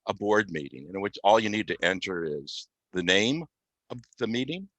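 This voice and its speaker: A man giving an unscripted software demonstration as a guest, explaining step by step with halting, mid-phrase pauses. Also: fairly low pitch, very animated, no echo. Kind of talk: unscripted software demo walkthrough.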